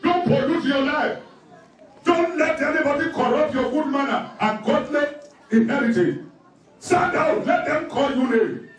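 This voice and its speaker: High-voiced preacher man, very echoey. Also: unhurried. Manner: emphatic, repetitive, numbered exhortations with scripture quotes. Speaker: pastor preaching. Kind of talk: sermon. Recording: very echoey room, distant microphone.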